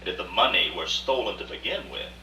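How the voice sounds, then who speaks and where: A proud, self-justifying older gentleman collector acted by a man in a radio play. The voice is very bright and forceful, with formal, sweeping theatrical phrasing. It is recorded from a distance in a roomy space with faint hum. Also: deep voice, animated, a little fast, heavily acted. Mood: defensive, self-justifying. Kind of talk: live radio play acting.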